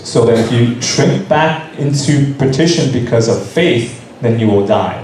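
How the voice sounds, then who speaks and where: A man's voice: medium pitch, moderate pace. Male sermon interpreter in an echoey hall. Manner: steady phrase-by-phrase rendering with occasional restarts. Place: church hall. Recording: very echoey hall, distant mic, faint hiss.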